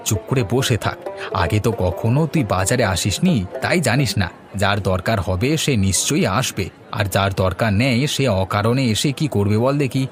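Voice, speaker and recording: A man's voice: fairly low pitch, animated, normal speed, close to an everyday voice. A man voicing a patient, hardworking village father and fan seller in a cartoon story, plainspoken and mildly admonishing. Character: patient, hardworking village father who sells palm fans. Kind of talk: Bengali cartoon story acting. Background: music.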